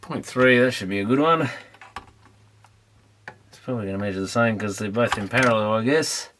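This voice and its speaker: A man's voice: on the low side, fairly quick, fairly bright tone. Man muttering through a repair, faint noise. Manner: muttering, thinking aloud, tentative. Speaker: amateur electronics repairer presenting. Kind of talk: casual unscripted workbench commentary. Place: repair workbench indoors.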